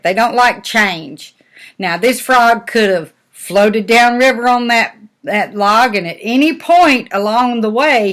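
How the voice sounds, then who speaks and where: A woman's voice: on the high side, a bit bright. An older woman, a grandmother reading a storybook to young children and chatting about it in a folksy, simple way. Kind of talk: storybook read-aloud with chatty asides to children.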